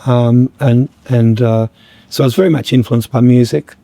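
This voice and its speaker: Slightly croaky man, halting. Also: medium pitch, steady tone, dark tone, no room sound. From Australia. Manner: halting, many fillers and restarts. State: a bit croaky. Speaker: guest musician. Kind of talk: reflective podcast conversation.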